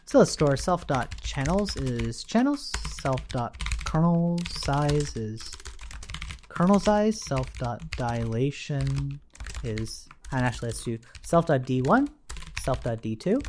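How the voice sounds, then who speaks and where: A man's voice: medium pitch, very animated, unhurried, a little mellow, slight background noise. Man casually talking through code as he types. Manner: informal, thinking aloud while typing. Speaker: programming tutorial instructor. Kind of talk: casual live coding walkthrough with explanations.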